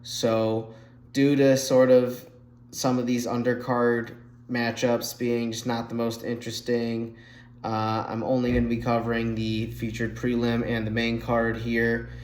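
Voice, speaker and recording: A man's voice: medium pitch, monotone, a little slow, a little mellow, slight background noise. A man hosting a solo MMA picks show, talking casually and sounding nasal after being sick, recorded on a phone mic. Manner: chatty, fillers, slightly rambling analysis. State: nasal from recent illness. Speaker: MMA podcast host making fight picks. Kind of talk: casual solo fight breakdown. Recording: echoey room on phone mic.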